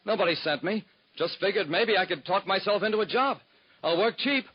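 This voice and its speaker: A man plays an eager young job seeker in an old radio drama, high-voiced and pleading, earnest yet nervous. The sound is dull and lo-fi, like a 1940s broadcast transcription. His talk stays polite and anxious. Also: fairly quick, a little hiss or hum, lightly acted. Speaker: secretary.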